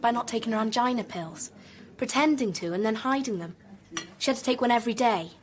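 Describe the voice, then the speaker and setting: A woman's voice: fairly high pitch, expressive, fairly quick, natural voice. A woman acting in a radio drama as an earnest teenage girl, grieving and suspicious. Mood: upset, earnest.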